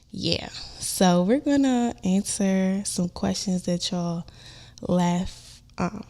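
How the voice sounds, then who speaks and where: A woman's voice: lowish voice, gravelly. A young woman, hosting her own podcast in loose, chatty talk full of "um" and "like". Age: young adult. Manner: chatty, warm, lots of fillers like "um" and "like". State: stuffy nose from a cold. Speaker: podcast host. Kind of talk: casual solo podcast chat. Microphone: on-camera podcast setup.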